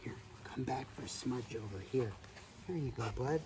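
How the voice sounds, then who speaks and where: A man in his forties reading a children's story aloud outdoors, expressive, with playful asides. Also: mid-range voice, normal speed, noisy background. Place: outdoors on grass in a farm field.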